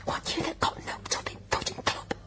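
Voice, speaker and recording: An actress playing a bitter, defiant young pregnant working-class woman with a Northern English accent in a period radio drama, breathy and whispering. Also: moderate pace, pushed and bright, close to an everyday voice.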